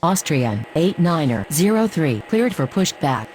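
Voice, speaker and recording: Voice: medium pitch, very animated. A speaker delivering scripted air traffic radio calls in formulaic, clipped phrasing. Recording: loud hissy rushing noise.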